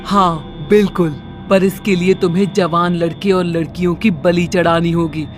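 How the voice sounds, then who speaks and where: A woman's voice: fairly low pitch, fairly even intonation, moderate pace, soft background music, close to an everyday voice. A woman voicing an evil, scheming sorceress in a horror cartoon, with a commanding and sinister manner. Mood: coaxing, sinister. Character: evil scheming female occult sorceress who is secretly a witch. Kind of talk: Hindi horror cartoon story acting.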